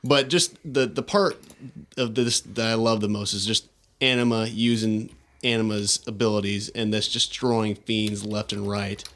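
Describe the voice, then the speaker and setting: A man's voice: lowish voice, steady tone, normal speed, a bit bright. A man co-hosting a podcast, describing video game scenes in an earnest, enthusiastic, conversational way. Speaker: podcast co-host.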